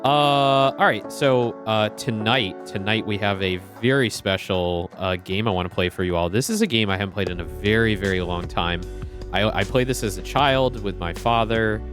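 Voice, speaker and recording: A man's voice: on the low side, normal speed, over music. A man streaming a retro game, chatting casually and greeting viewers by name.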